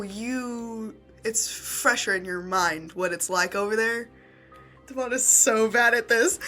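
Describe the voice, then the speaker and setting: Female tabletop player, highish bright voice, casual and halting improvised role-play, often breaking into laughter.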